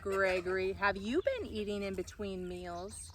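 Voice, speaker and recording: A woman's voice: medium pitch, a little slow, fairly bright tone, slight background noise, natural voice. A woman voicing a stern, disapproving father goat in a storybook, firm and emphatic.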